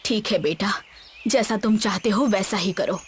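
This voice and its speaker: A woman's voice: medium pitch, moderate pace, slight background noise, natural voice. A woman voicing a protective, fearful old village grandmother in a horror story, with a solemn maternal way of telling. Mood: fearful, resigned.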